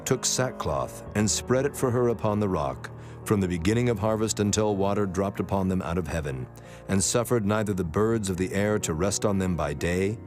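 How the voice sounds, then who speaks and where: A man narrating a dramatized scripture reading in a solemn, measured manner. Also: deep voice. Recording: prominent music behind the voice.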